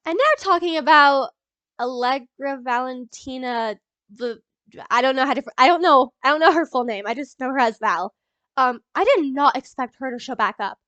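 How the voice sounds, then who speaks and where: A young woman with a stuffy nose from a cold, rambling excitedly in a casual movie reaction vlog, full of ums and little laughs. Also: high voice, moderate pace, no room sound. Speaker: YouTuber.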